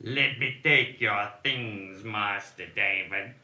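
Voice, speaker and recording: A man doing a slow, formal old servant. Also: on the low side, pushed and bright, pitched below the performer's own voice, full character voice. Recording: echoey room with clear noise.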